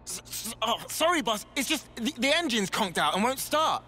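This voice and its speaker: A man's voice: extremely high pitch, very animated, moderate pace, bright, forceful tone, slight background noise, full character voice. An actor playing a nervous young male mechanic who stammers and protests anxiously in a video game.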